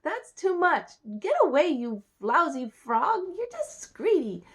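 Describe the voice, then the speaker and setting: A woman's voice: high voice, animated, normal speed, pitched above the performer's own voice. A woman voicing a spoiled young princess, bossy, disgusted and protesting, in a playful fairy tale. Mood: indignant.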